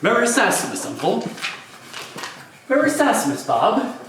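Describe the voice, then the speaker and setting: A man's voice: very high-pitched, wildly swooping pitch, slow pace, full character voice. A man playing a cheerful, earnest nephew who declaims and pleads in an amateur stage read. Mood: merry. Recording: echoey room, faint noise.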